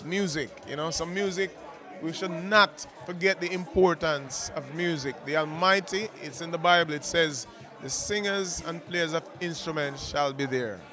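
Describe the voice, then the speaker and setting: A man's voice: a little high, normal speed. A man speaking earnestly and emphatically in a casual interview at a launch event. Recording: music and crowd noise behind.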